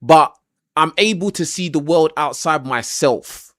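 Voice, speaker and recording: A man's voice: fairly high pitch, unhurried, fairly bright tone, no echo, clean studio sound. A man hosting a livestream debate, cutting in and repeating himself as he talks over his callers. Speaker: livestream host. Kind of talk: casual debate chat.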